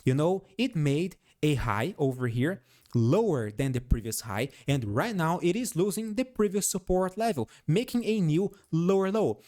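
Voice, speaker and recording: A man's voice: on the high side, sing-song, normal speed, dark tone. A man speaking English as a second language with a noticeable foreign accent. He walks through stock charts with emphatic, repeated phrases and keeps checking in with the viewers. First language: not English. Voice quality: noticeable non-native accent. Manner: emphatic, repeats phrases, frequent "all right?" check-ins. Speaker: stock market analyst. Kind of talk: technical analysis commentary over charts.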